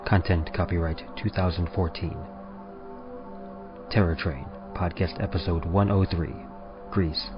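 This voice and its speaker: A man reading scripted podcast credits as an announcer, in a measured, formal way. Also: sits low, steady tone. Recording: muffled sound under music. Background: music.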